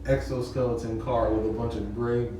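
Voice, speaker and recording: A man's voice: mid-range voice, little pitch movement, normal speed, mellow, distant mic. A man chatting casually in an interview, explaining his media teaching work patiently and repeating his points. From San Diego area. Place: indoor party mixer. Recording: echoey event room, off-mic, noisy.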